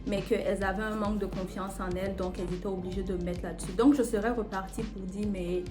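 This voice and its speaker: A woman's voice: medium pitch, steady tone, fast. A young woman chatting casually and candidly as a video co-host, emphatic, repeating herself to insist. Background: music.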